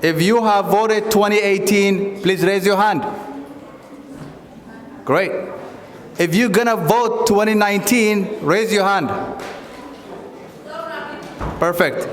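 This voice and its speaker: A man's voice: sits high, slow, pauses often. A man from Somalia, giving a campaign speech to a crowd and putting questions to the audience. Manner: addresses the audience directly, asks for raised hands. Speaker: former candidate speaking at a campaign event. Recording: echoey hall, long reverb.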